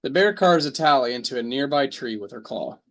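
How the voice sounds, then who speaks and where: A man's voice: medium pitch, expressive. A man narrating a radio drama. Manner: measured storytelling.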